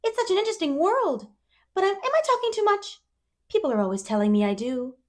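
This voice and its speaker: A woman's voice: very high-pitched, sing-song, fast, a little mellow, pitched above the performer's own voice. A woman voicing a talkative, dreamy eleven-year-old orphan girl with effusive wonder and dramatic emphasis, in a storybook reading.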